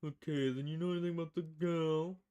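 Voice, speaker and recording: A woman plays a male private eye from a noir visual novel. The voice is dark and mellow, with a grumbling, impatient manner as he fishes for information. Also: deep voice, steady tone, a little fast, clear, resonant tone, lightly acted. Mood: matter-of-fact. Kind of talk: visual novel character voice, film noir dialogue.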